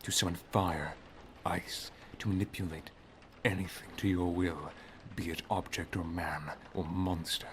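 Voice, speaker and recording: A man playing a solemn, protective wizard master and lover in an ASMR roleplay, speaking softly and gravely, often half-whispering. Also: low-pitched, fairly even intonation, a little slow, few pauses, noisy background. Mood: challenging. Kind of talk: ASMR roleplay, dramatic monologue.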